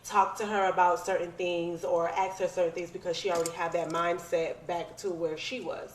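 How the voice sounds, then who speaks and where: A woman's voice: fairly low pitch, level tone, moderate pace. An American woman in her thirties telling personal stories in a casual, conversational way full of slang. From United States. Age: 30s. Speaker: podcast guest. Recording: roomy echo, clear background noise.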